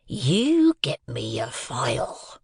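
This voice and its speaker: Man acting slow, menacing, gravelly convict. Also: medium pitch, wildly swooping pitch, dry recording, heavily acted. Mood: slow menace. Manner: slow, threatening, rustic dialect speech. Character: menacing, ragged, growling escaped convict, rough man.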